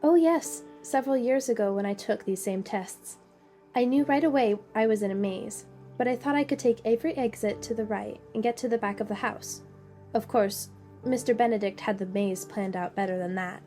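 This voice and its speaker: A woman's voice: on the high side, normal speed, dark tone, soft background music, close to an everyday voice. A woman playing a polite, encouraging young guide to gifted children in an audio drama, speaking in formal phrases. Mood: friendly, explaining.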